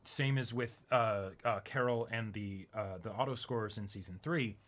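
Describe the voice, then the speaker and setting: A man's voice: fairly low pitch, normal speed, fairly bright tone. A man co-hosting a casual discussion, thinking aloud in loose, conversational phrasing. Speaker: co-host of an anime reaction discussion.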